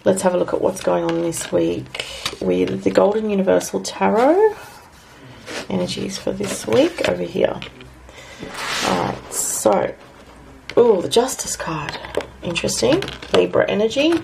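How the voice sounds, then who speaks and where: Raspy, gravelly Australian woman. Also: mid-range voice, dark tone, low-quality recording. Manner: rambling, filler-heavy, thinking aloud. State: raspy from recent illness. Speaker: astrologer and tarot reader. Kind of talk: casual weekly astrology and tarot forecast.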